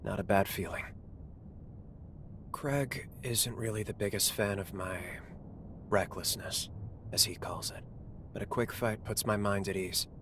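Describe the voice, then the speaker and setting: An actor voicing a guilt-ridden, reckless young male fighter, speaking earnestly and quietly. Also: on the low side, steady tone, measured pace, long pauses, noisy background. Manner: earnest, subdued, confessional. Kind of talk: video game voice acting. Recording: game cutscene with music underneath.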